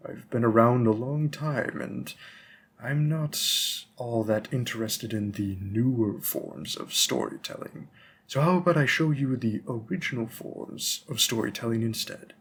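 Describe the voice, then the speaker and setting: Slow, hesitant old-fashioned bookseller played by a man. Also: medium pitch, husky. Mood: earnest, coaxing. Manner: polite, hesitant, rambling, gently formal. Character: long-lived, bookish, old-fashioned male bookstore keeper, politely stalling. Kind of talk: scripted roleplay audio drama, addressing the listener.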